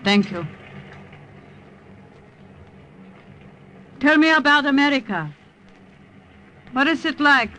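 A woman's voice: sits high, animated, normal speed, bright, forceful tone. A woman acting a weary, fearful middle-aged Dutch aunt in an old wartime radio drama, speaking sorrowfully. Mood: wistful. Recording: noisy old-time radio recording.

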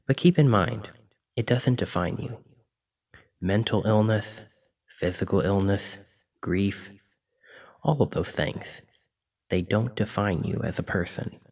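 A man playing a kindly, apologetic sleep paralysis demon in an ASMR roleplay, speaking in a gentle, reassuring, informal way. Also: low voice, gravelly, recorded from a distance, heavily acted, rough recording.

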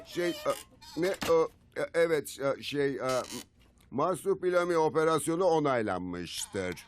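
A man's voice: a little high, lively intonation, measured pace. A man voicing a dithering leader in a dubbed cartoon, hesitant and stammering. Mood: flustered. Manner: hesitant, stammering, full of ums. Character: dithering male leader who approves military operations. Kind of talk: Turkish cartoon dub acting.

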